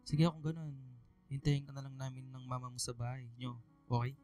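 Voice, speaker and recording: A man with a Filipino accent playing a gentle, fond middle-aged father in a radio drama. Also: mid-range voice, fairly even intonation, fairly quick, soft background music, lightly acted.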